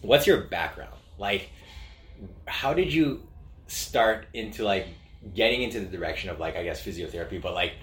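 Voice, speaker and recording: Low-voiced man in his thirties, halting. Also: animated, echoey room. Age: 30s. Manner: halting, filler-heavy questions. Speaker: host. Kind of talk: casual interview.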